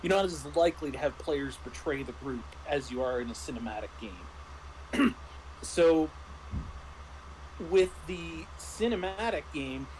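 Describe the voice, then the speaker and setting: A man's voice: on the high side, expressive, measured pace, a little mellow, a little hiss or hum, poor audio. A man in a casual discussion, explaining like a game master and often rephrasing himself mid-thought.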